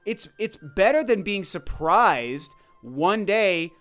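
Higher-pitched man giving casual opinion commentary. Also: animated, slow, fairly bright tone. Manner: emphatic, conversational, repeats points for stress. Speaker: video commentator. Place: voice-over over gameplay footage.

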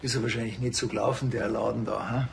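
An actor with a Bavarian accent playing a laconic, dry-witted small-town police officer in a TV crime comedy. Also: male voice, mid-range voice, fairly even intonation, quick pace, creaky, background noise. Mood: probing, casual.

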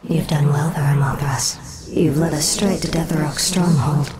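A woman's voice: sits low, steady tone, measured pace, a little mellow, a little hiss or hum. A woman playing a cold, proud, commanding undead banshee queen in a fantasy video game. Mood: cold, calculating.

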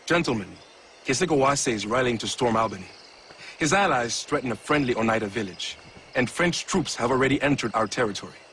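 A frontier scout delivers urgent news in a bright, animated voice, played by a man. There is faint outdoor noise behind him. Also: medium pitch, brisk, close to an everyday voice, low-quality recording. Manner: urgent, lively.

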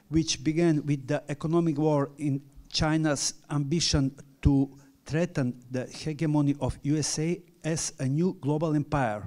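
A middle-aged man with a French accent, a native French speaker, reading a structured academic lecture at a panel in halting English. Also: a little high, darkish tone. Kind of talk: academic conference talk. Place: large conference hall with audience.

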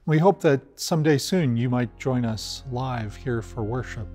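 An older man, a priest, gives a calm, measured weekly homily to camera inside a large church. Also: mid-range voice, faint music behind. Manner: calm, measured and gently pastoral.

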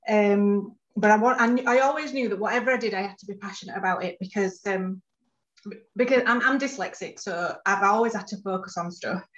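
A woman's voice: medium pitch, brisk, lo-fi sound. A woman panelist telling her personal business story over a video call, with frequent fillers. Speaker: panelist, food business founder. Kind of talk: online panel answers.

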